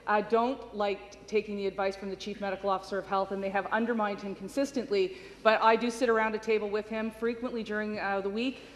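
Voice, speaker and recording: A woman's voice: mid-range voice, flat delivery, fast, few pauses, big-room sound. A woman answering firmly and defensively as a government minister in a large legislative chamber, on a desk microphone. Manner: defensive, firm. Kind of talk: parliamentary answer.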